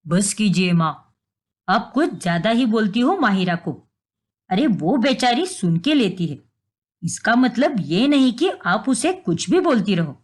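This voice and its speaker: An indignant adult son from a storybook family drama, voiced by a woman. He is a protective, gullible husband. He speaks in a reproachful, defensive tone with lively rises and falls. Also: medium pitch, unhurried, husky, voice pushed down.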